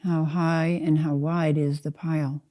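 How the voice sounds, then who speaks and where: An older woman guides a meditation in a low, very soft-edged and dark voice. She is calm and measured, setting out short phrases one by one without hurry. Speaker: Zen teacher leading a guided meditation.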